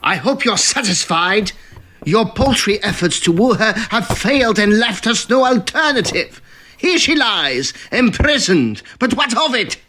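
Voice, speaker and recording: A man's voice: high-pitched, big pitch swings, unhurried, sharp tone, heavily acted. A man playing a peevish, anxious villainous guardian in a theatrical old-style radio melodrama. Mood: bitter. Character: uneasy, peevish Victorian villain, a scheming guardian.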